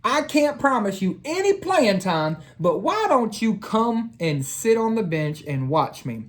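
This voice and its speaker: A teenage big brother from a storybook, voiced by a woman reading aloud. He sounds casual and encouraging, pitched a little above the plain narration. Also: big pitch swings, a little slow. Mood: casual, inviting. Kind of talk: children's storybook read-aloud.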